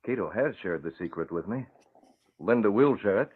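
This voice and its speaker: An actor playing an earnest, determined young man with a measured, resolute manner, in old-time radio drama style. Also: lowish voice, lively intonation, breathy edge. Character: earnest, determined crusading son, secret vigilante. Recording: muffled, band-limited vintage radio recording.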